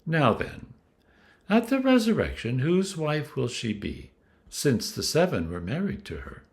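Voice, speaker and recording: A man's voice: mid-range voice, huge pitch swings, a little slow, gravelly, full character voice. A man voicing sly, falsely polite male questioners in a scripture reading, formal and pointed. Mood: testing.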